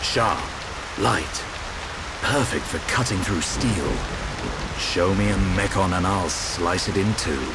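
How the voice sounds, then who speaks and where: An actor playing a proud, battle-hardened swordsman in a video game, speaking in a measured, wry and resolute way. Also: sits low, heavy background noise. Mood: resolute, confident. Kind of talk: video game cutscene acting.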